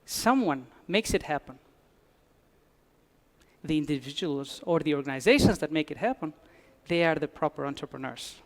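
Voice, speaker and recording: A man's voice: on the high side, lively intonation, moderate pace. A man from Albania, giving a conference talk with dry humor and frequent restarts. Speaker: conference speaker. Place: conference hall.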